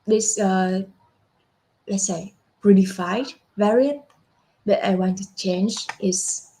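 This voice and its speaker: A young adult woman walking through a software demo step by step, unhurried, with frequent fillers and self-corrections. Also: medium pitch, steady tone, slight background noise. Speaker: software product presenter. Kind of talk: screen-share software demo walkthrough.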